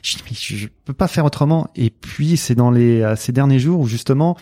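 Middle-aged man with a Marseille accent, dry close sound, halting casual talk. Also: medium pitch, warm tone, dry recording. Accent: Marseille French. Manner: hesitant, many fillers and restarts. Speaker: guest, coach and author.

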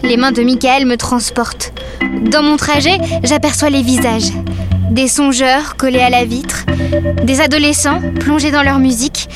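A woman's voice: high-pitched, steady tone, moderate pace, loud music behind the voice, lightly acted. A woman voicing a tender, anxious, observant living rag doll who narrates an audio drama. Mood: observant, wistful. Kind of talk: scripted audio fiction narration.

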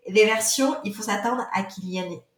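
A woman's voice: medium pitch, a little fast. Hesitant woman in an echoey room. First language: not French. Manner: hesitant, many fillers and restarts. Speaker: guest author. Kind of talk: podcast interview.

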